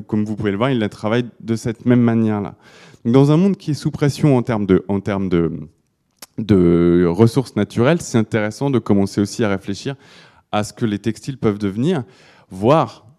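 A man's voice: mid-range voice, mellow. A man giving a live talk to an audience about design, often restarting his sentences. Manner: hesitant, many restarts and fillers. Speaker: speaker presenting to an audience.

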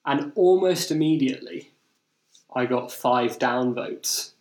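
This is a young man with an English accent. He chats casually and tells anecdotes as a podcast host. His pitch is middling, and the recording is clearly roomy and echoing. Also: slow. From England. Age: young adult.

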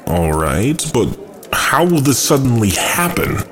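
A man acts as a brooding, cunning angel with a medium-pitched voice that moves expressively. He talks slowly and musingly, with measured pauses, as an audio drama schemer. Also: big pitch swings, a bit bright. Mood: sceptical.

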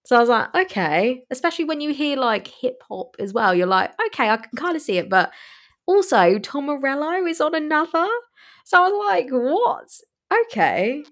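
A woman's voice: sits high, big pitch swings, moderate pace. Chatty woman reviewing music. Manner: chatty, enthusiastic, laughs often. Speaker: podcast co-host. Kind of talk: casual music review chat.

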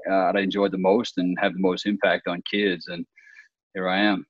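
A man's voice: low-pitched, little pitch movement, normal speed, no room sound, highs cut off. A man, a basketball coach talking steadily in an interview and repeating words for emphasis. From Australia. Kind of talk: casual interview talk.

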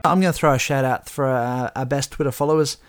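A man co-hosting a casual podcast, chatty and rambling, his voice a little croaky. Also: mid-range voice, fast, over music. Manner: chatty, rambling with restarts and laughs. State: slightly croaky voice. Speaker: podcast co-host. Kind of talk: casual chat.

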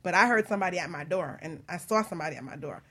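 A woman hosting a talk show, telling personal stories in an informal, animated and teasing way. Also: fairly low pitch, racing, heavy background noise. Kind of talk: casual personal storytelling and chat.

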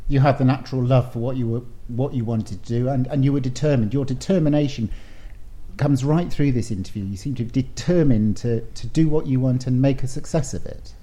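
A man presenting a hospital radio interview, asking measured questions. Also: mid-range voice, mellow. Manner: measured, scripted-sounding questions.

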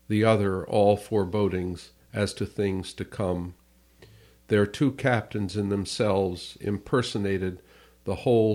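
Slow-paced man narrating a classic novel. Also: lowish voice, a little mellow. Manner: measured, phrase-by-phrase reading. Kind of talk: scripted literary audiobook narration.

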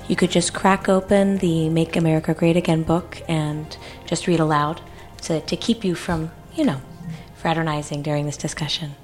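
A woman playing a fussy, awkwardly polite official who speaks haltingly, in improvised live radio comedy. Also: low voice, steady tone, darkish tone, close to an everyday voice. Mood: politely stern. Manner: halting, hedging. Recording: live stage recording with faint music.

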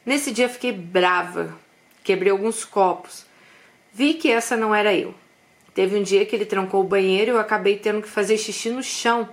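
A woman's voice: medium pitch, moderate pace. A woman telling a personal story in the first person, confiding, with frequent asides to her listeners.

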